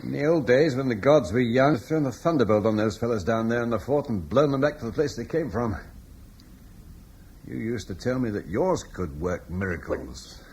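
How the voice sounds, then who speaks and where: A man's voice: medium pitch, animated, moderate pace, a little mellow, husky, poor audio. A bitter, resigned Roman-era man. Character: weary Roman-British man facing the end. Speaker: Julian, husband in a radio play. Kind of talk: 1950s-60s radio drama acting. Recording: old radio broadcast with faint noise.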